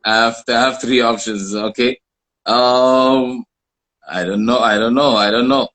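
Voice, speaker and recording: A man's voice: medium pitch, fairly even intonation, unhurried, fairly bright tone, low-quality recording. A man hosting a casual live interview show, speaking hesitantly and often repeating his phrases.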